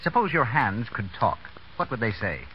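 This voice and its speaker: A man's voice: medium pitch, big pitch swings, normal speed. An American man announcing in polished, persuasive 1930s radio style. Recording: scratchy muffled 1930s radio broadcast.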